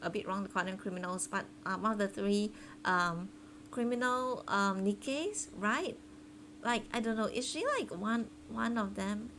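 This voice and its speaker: A woman's voice: mid-range voice, normal speed, fairly bright tone, slight background noise, rough recording. A woman game streamer chatting casually with viewers in a giggly, hesitant, rambling way. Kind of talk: casual live-stream chat. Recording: game music behind the voice.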